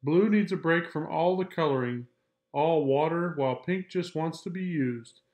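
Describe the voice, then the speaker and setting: A man reading a children's picture book aloud in a measured, deliberate storytelling style. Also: on the high side, darkish tone.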